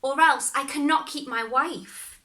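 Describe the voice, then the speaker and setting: A woman's voice: high voice, a little slow, a bit bright, pitched above the performer's own voice. A woman voicing a bold, confident young male fairy-tale hero in a storybook, speaking emphatically. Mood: polite, eager.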